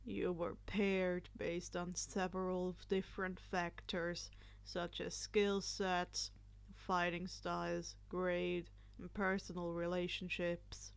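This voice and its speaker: A woman voicing a stern male teacher in a storybook, measured and deadpan. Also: on the low side, creaky, clear, resonant tone, voice pushed down. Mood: stern, flat.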